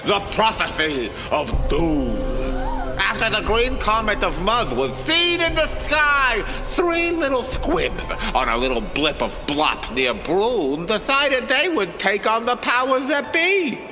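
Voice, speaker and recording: Pompous high-voiced alien king. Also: very animated, slow, big-room sound, over music, full character voice. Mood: pompous, grandiose. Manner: grandiose, theatrical speechmaking. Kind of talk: sci-fi musical audio drama acting.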